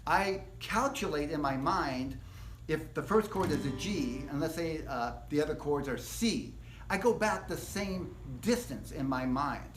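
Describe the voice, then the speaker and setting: A man teaching a casual live guitar workshop, explaining things step by step in a relaxed, rambling way. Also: a little high, unhurried, a little hiss or hum. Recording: echoey room.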